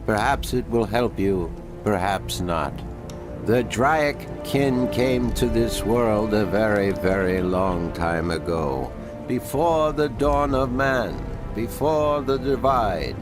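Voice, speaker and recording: A man voicing an ancient, wise being of a magical people in a fantasy game, speaking in a formal, deliberate, solemn way. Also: fairly low pitch, animated, music in the background. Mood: solemn, measured. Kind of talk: fantasy game character dialogue.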